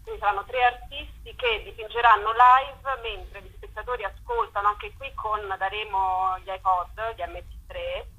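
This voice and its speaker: A woman curator speaks in a bright, husky voice, explaining the program at length and pausing on filler sounds as she thinks. The sound is dull and lo-fi, like an old radio broadcast. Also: medium pitch, steady tone. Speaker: festival curator.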